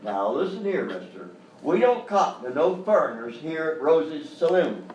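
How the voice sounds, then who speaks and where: A blustering Old West gunslinger, acted by a man for live radio-style comedy. He drawls in folksy Western American fashion, high and swinging in pitch. The mic is some way off. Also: big pitch swings, moderate pace, darkish tone, dull audio. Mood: blustering threat. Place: live performance in a hotel venue. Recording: roomy live stage, distant mic, faint noise.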